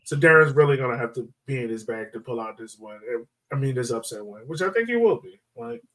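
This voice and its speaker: A man's voice: medium pitch, animated, quick pace, a bit bright. A man hosting a sports podcast in casual, chatty, slangy talk. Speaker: podcast host. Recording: voice slightly far from mic.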